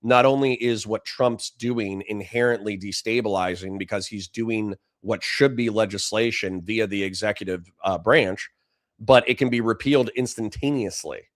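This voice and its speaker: A man with a dry voice giving blunt, profane political commentary to a livestream chat. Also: fairly low pitch. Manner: blunt, profane, fast restarts.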